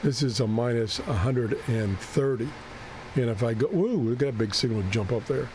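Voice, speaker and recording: A man who is losing his voice and keeps clearing his throat talks through a radio setup, thinking aloud and correcting himself as he goes. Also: medium pitch, moderate pace, background noise. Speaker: ham radio video host. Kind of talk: unscripted screen-share walkthrough.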